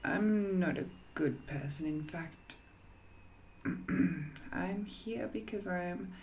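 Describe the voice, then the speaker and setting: A woman playing an ancient, banished magical villain in an audio roleplay, musing and seductive, with hesitant, intimate delivery that often softens toward a whisper. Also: medium pitch, a little hiss or hum. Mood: frank, wry. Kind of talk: scripted audio roleplay monologue, intimate and addressed to the listener.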